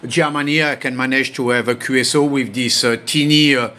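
Bright-voiced man. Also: mid-range voice, steady tone, a little fast. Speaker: amateur radio operator. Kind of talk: casual hobby demonstration chat. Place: indoor home radio workbench.

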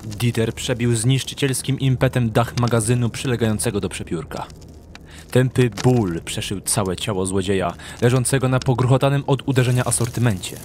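A man's voice: medium pitch, moderate pace, darkish tone, a little hiss or hum. A man narrating a dramatic audio drama from a script. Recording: clear music behind the narration.

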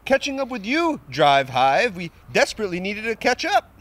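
A man in his thirties with a fairly high, swooping voice hams up grave, earnest lines for comic effect. He speaks outdoors near a stadium, close to a handheld mic. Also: big pitch swings, moderate pace, fairly bright tone. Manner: theatrical, gravely earnest delivery played for laughs. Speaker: comedy show co-host. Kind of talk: mock-dramatic comedy sketch and sports show banter. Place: outdoors in snow beside a stadium.

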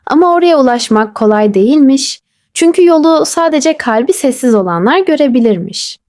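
A woman voicing a wise, calm old male butterfly in a storybook, speaking slowly and soothingly. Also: high-pitched, dry recording, clean studio sound.

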